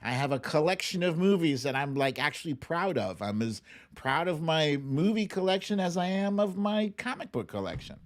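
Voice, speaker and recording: A man hosting a livestream with casual commentary, speaking in a halting, conversational way with pauses and emphatic stresses. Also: fairly high pitch, expressive. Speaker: host of a livestream show.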